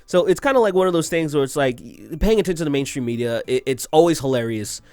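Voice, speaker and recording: A man's voice: a little high, fast, a little mellow, smooth, dry recording. Crude, sarcastic young male ranter. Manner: crude, sarcastic, laughing at his own jokes. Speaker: commentary video host. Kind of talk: ranting commentary on tweets and news.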